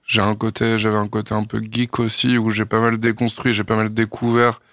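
A young man from France, a singer-songwriter, answering radio interview questions in a thoughtful, hesitant way with many pauses and fillers. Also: fairly low pitch, completely flat delivery, fairly bright tone, no echo, studio quality.

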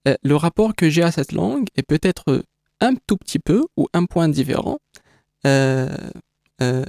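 A man's voice: on the high side, lively intonation, unhurried, creaky, darkish tone, no echo, studio quality. A man whose first language is Kabyle, an exhibition creator giving a radio interview, speaking hesitantly with many fillers and restarts. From North Africa (Kabyle).

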